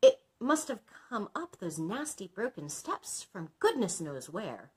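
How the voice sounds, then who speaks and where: A woman's voice: mid-range voice, big pitch swings, a little slow, husky, voice pushed up. A woman voicing a timid, respectable male blacksmith in a storybook, speaking politely and carefully. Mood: frightened.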